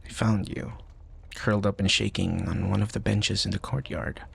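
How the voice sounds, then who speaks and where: A man playing a young college student, a protective, teasing and tender best friend, in an intimate ASMR roleplay with soft, sighing, hesitant delivery. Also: lowish voice, fairly even intonation, normal speed, exaggerated voice. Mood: tender, caring. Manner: soft, sighing, hesitant stammers, breathy asides.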